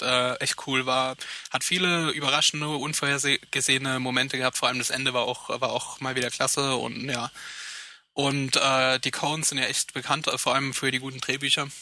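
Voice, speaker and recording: A man co-hosting a podcast, chatting casually and hesitantly, with many fillers and quick laughs. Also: mid-range voice, pushed and bright, no room sound. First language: German. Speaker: podcast co-host. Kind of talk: casual chat.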